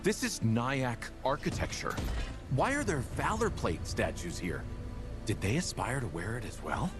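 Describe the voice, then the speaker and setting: A man's voice: medium pitch, big pitch swings, a little slow, over music. A man voicing a curious, pondering knight in a fantasy video game. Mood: puzzled, musing.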